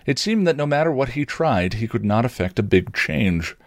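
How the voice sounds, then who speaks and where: A man reading fan fiction aloud as expressive story narration. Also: medium pitch, moderate pace, no echo, clean studio sound. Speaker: fan fiction reader on a podcast.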